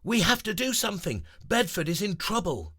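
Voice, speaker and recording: A man puts on a lively, high-pitched male otter from a children's storybook, eager and urgent. The voice bounces all over its range and has a slightly husky edge. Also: moderate pace, exaggerated voice. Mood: alarmed.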